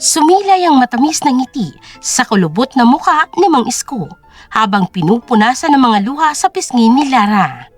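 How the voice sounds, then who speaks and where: A woman's voice: sits high, sing-song, moderate pace. A woman narrating a scripted drama story in a dramatic storytelling style. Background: music.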